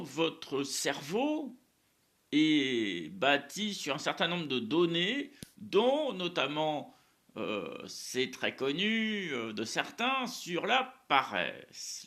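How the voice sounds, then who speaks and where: A man whose first language is Spanish talks about faith in a loose, rambling monologue, doubling back on words and leaning on little fillers. His voice sits fairly high and swings widely in pitch. It has a gravelly, bright edge. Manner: rambling, repeats words, frequent "hein" and "euh". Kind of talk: casual religious monologue to camera.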